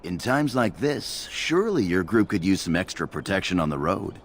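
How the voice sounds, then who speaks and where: An actor playing a market merchant in a video game, with a salesmanlike, matter-of-fact manner. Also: male voice, deep voice, big pitch swings, normal speed, a little hiss or hum. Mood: hawking, eager. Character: male market merchant hawking arms and armor.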